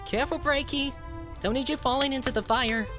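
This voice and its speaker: A woman's voice: high voice, a little fast, over loud music, lightly acted. A woman voicing a teasing, casual young stallion, an older brother in a cartoon-style audio drama.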